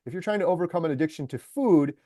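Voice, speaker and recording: Eager male documentary maker in an interview; mellow, slightly high, dry close sound. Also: rapid-fire, no echo. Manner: enthusiastic storyteller, lots of fillers like "like" and "uh". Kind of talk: casual interview conversation.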